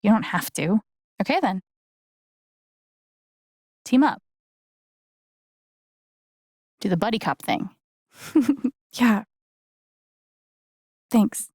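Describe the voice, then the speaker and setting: An actress playing an earnest, gentle young woman in a video game, speaking softly and haltingly with sincere, naturalistic delivery. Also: mid-range voice, expressive, slightly rough, no echo, clean studio sound. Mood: quietly determined.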